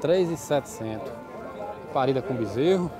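A man vlogging his walk through an outdoor livestock market, greeting sellers in a brisk, friendly, chatty way. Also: medium pitch, animated, over music. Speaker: vlogger touring a cattle market. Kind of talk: casual on-the-spot vlog, asking sellers prices. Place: outdoor livestock fair pens. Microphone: handheld phone camera. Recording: outdoor market with loud crowd and cattle.

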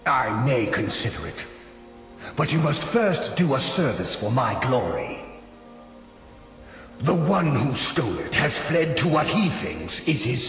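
An actor playing a proud, commanding hunting god in a fantasy video game, with a grand, theatrical and imperious delivery. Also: male voice, medium pitch, fairly bright tone, echoey room, in a large hall, heavily acted. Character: commanding, proud male spirit god of the hunt.

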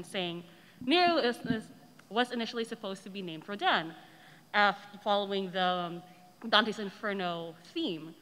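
A woman's voice: medium pitch, fairly bright tone, a little hiss or hum. A woman asking a casual fan question from the audience, rambling, hesitant and apologetic. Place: large indoor convention panel room. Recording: echoey hall, long reverb.

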